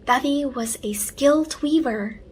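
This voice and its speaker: A woman voicing a caring, protective young girl in a children's storybook, earnest and expressive. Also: high voice, a little slow. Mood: fond.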